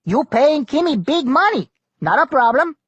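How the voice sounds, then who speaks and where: Reader doing a shrill, hot-tempered navigator. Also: sing-song, normal speed, no room sound, dull audio. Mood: scolding. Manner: broken English, shouting in bursts. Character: excitable male boat navigator, bossy and hot-tempered.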